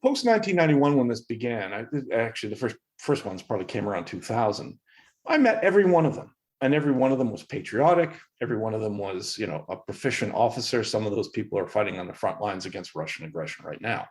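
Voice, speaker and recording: A man's voice: lowish voice, big pitch swings, fast. Earnest Canadian man explaining history. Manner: earnest, emphatic explainer with frequent restarts. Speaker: professor and podcast guest. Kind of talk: interview answers.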